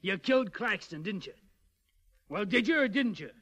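An American actor playing a tough, blunt police sergeant in a 1940s radio crime drama, slangy and menacing. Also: male voice, high-pitched, expressive, moderate pace, fairly bright tone. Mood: bullying.

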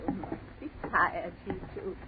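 An actress playing a bustling, evasive, bossy old aunt in a 1930s radio horror drama. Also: female voice, on the low side, a little slow, close to an everyday voice. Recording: noisy, tinny 1930s radio recording.

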